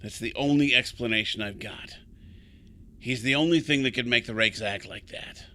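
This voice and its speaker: Forceful, troubled older man played by an actor. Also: mid-range voice, close to an everyday voice. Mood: urgent, grave. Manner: halting, grave, insistent. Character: troubled, weary older man, secretive guide fearing an ancient evil. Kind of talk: audio drama acting.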